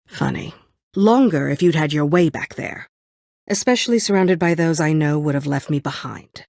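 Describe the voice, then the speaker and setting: A woman's voice: deep voice, moderate pace, vocal fry, a little mellow, no room sound. A woman playing a bitter, guarded former admin and ex-prisoner in a video game, curt and dryly sarcastic. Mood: grim, wry. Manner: curt, dry sarcasm, brooding.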